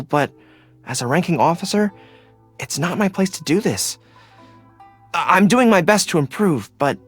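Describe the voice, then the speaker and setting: A man voicing an earnest, loyal young dog-eared general in a video game, polite and dutiful, speaking as a young adult. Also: fairly high pitch. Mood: humble, earnest. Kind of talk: video game character voice lines. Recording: studio voice over background music. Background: music.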